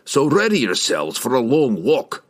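A man's voice: mid-range voice, huge pitch swings, a little slow, pitched above the performer's own voice. A man voicing a furious, stubborn old male dwarf in an audiobook, roaring and growling in old-fashioned dwarven speech. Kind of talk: audiobook character voice.

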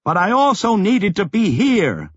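A man voicing a fierce, determined young man, shouting urgently in an audiobook. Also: sits high, very animated, unhurried, no room sound, lo-fi sound, pitched above the performer's own voice, full character voice. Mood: fierce, regretful. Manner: raised, urgent, strained shouting. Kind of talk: audiobook character acting.

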